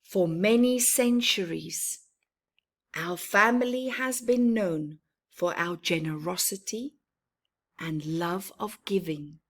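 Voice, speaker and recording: A woman voicing a proud, generous father tree in a children's storybook, speaking earnestly and deliberately. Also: fairly low pitch. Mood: proud, fatherly.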